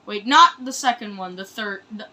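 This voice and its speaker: A woman hosting a reaction video, commenting casually in an excitable, exclamatory, rambling way. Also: mid-range voice, moderate pace.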